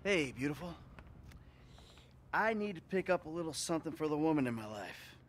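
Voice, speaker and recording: An actor voicing a cocky middle-aged ex-robber in a video game, talking loud, blunt and wisecracking. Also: male voice, on the high side, big pitch swings, brisk. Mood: flirty.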